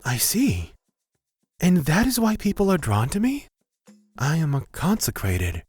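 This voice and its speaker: A man's voice: a little high, wildly swooping pitch, measured pace, darkish tone, slightly rough, dry recording, soft background music, heavily acted. A man playing a humble, laid-back elf wanderer in a fantasy audio drama, reflective and probing. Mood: probing, curious.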